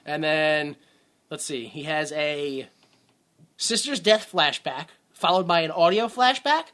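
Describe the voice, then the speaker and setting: Animated man recapping a movie. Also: a little high. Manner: animated, swings between quiet mutters and loud outbursts; laughs often, acts out characters in quick asides. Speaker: podcast co-host. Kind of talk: casual movie recap chat.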